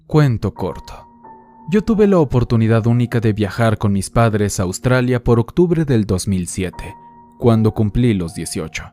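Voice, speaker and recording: A man calmly narrating horror stories sent in by listeners, in a measured, somber reading. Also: fairly low pitch. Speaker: horror story channel narrator. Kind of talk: scripted horror narration read from listener letters. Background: faint music.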